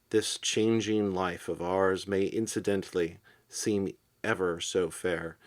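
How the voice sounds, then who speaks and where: A man reads a poem aloud in a fairly low voice. His pace is very slow and deliberate. He sets out each phrase with measured pauses. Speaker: poetry reader. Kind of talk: literary poem reading.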